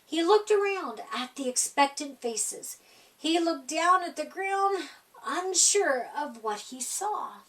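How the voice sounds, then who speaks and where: Woman storyteller, unhurried, high voice, expressive picture-book read-aloud, a little off mic. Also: recorded from a distance.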